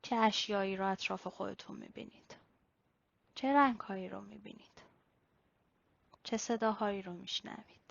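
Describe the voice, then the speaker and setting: A woman leading a guided body-scan meditation in a slow, instructional way with pauses. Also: mid-range voice, fairly even intonation, gravelly. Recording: slightly dull, lo-fi recording.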